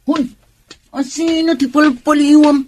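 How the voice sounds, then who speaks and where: A man playing a chatty, forthright, soft-hearted landlord and neighbour in a radio drama, with a husky voice. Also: extremely high pitch, little pitch movement, unhurried, slight background noise. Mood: challenging.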